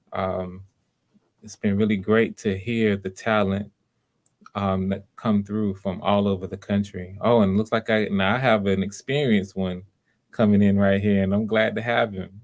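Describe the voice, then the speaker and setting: A man's voice: lowish voice, a little mellow. A man hosting a live audition stream, talking casually in a rambling, conversational way. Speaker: audition host.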